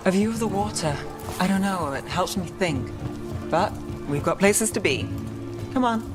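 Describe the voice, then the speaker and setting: A woman's voice: mid-range voice, animated, normal speed, natural voice. A woman playing a guarded, world-weary city investigator in a video game, speaking in a dry, understated way. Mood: matter-of-fact. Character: wry, guarded female city private investigator. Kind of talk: dramatic video game dialogue. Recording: music behind the dialogue. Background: game music.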